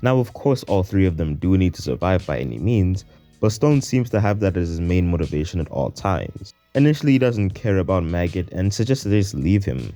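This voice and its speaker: Male video essayist, low and mellow, casual slangy scripted narration. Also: big pitch swings, brisk, dry recording. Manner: informal, slangy. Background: faint music.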